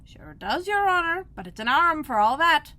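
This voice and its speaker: A woman voicing Pat, a timid, deferential male laborer who speaks slowly. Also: high voice, big pitch swings, pushed and bright, pitched above the performer's own voice, heavily acted. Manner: slow, deferential, says "your honor".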